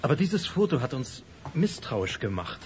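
A man's voice: medium pitch, sing-song, normal speed, a little hiss or hum, lightly acted. A man playing a worried, earnest father who speaks hesitantly and with suspicion, in a German children's radio play.

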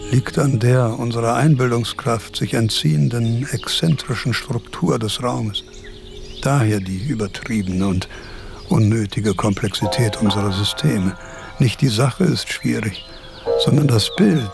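A man playing a pedantic, dryly philosophical thinker in a German radio play, with formal, measured, scholarly diction. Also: medium pitch, creaky, close to an everyday voice.

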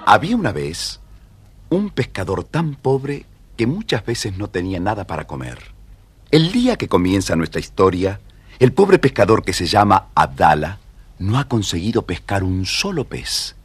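Low, slightly husky male storyteller. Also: big pitch swings, dry recording. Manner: measured, dramatic. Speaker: narrator. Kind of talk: scripted children's storytelling narration.

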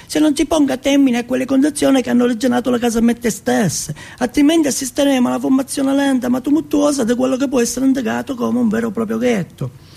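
A pompous councillor, put on by a man declaims in a raised, husky voice. The delivery is formal, like an official statement in a stage monologue. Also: very high voice, steady tone, quick pace, mellow, voice pushed up, full character voice. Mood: solemn, formal.

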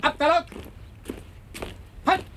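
An actor playing a military commander in a radio play, barking clipped, exaggerated orders. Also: male voice, slow, a bit bright, far from the mic, a little hiss or hum. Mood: barking, aggressive.

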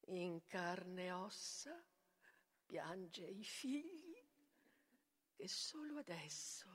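A woman's voice: medium pitch, gravelly, husky, heavily acted. A woman playing a bitter, betrayed Greek hero in a declamatory tragic stage monologue, moving between whispers and outcries. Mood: broken grief. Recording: reverberant hall with long echo.

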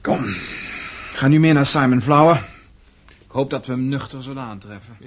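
An actor in a radio play playing a serious, dutiful young son and heir, earnest and blunt. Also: male voice, mid-range voice, moderate pace, background noise, close to an everyday voice. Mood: serious, foreboding. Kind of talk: Dutch radio play acting.

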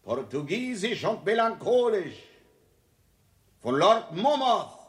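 A contemptuous, melancholic monarch in a 1960s radio play. He savours his words theatrically and slowly, the pitch rising and plunging with royal disdain. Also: sing-song, a bit bright, exaggerated voice. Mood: grandly proclaiming. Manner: pompous, theatrical, savouring each phrase.

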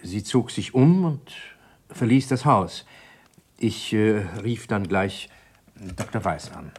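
An actor playing an uneasy, guarded doctor in a German radio crime drama, speaking haltingly and evasively. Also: male voice, fairly low pitch, animated, slight background noise. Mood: explanatory. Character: uneasy male doctor, guarded jealous husband.

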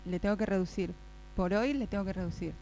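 Casting: stern lady boss played by a woman, formal and measured, dark soft tone, radio drama. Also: mid-range voice, pitched below the performer's own voice, natural voice, rough recording. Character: stern, formal female boss scolding employee. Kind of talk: improvised radio drama workshop. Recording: faint mains hum.

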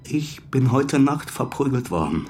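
An actor playing a beaten-up, bitter football goalkeeper in a crime radio drama, giving aggrieved, halting testimony. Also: male voice, mid-range voice, sing-song. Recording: faint music under the voice.

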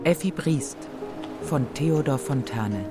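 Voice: mid-range voice, expressive, takes it slowly. An announcer reading scripted credits for an audio drama. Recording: studio voice over loud music. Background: loud music.

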